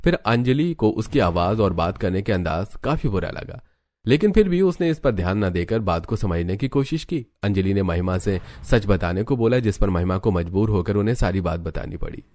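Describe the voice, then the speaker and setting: Low-voiced male story narrator. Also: expressive, moderate pace, a little mellow, no room sound, clean studio sound. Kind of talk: scripted story narration for a family cartoon.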